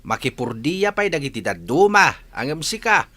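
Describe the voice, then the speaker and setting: A man's voice: fairly high pitch, very animated, normal speed, bright, forceful tone, dry recording, full character voice. A man playing a grumbling old village farmer who scolds as a father, in an Ilocano comedy radio drama. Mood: scolding.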